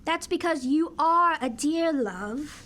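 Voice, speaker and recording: A woman's voice: high-pitched, animated, takes it slowly, a bit bright, heavily acted. A woman acting an affectionate, playful and witty wife in a radio comedy. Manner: playful, doting banter.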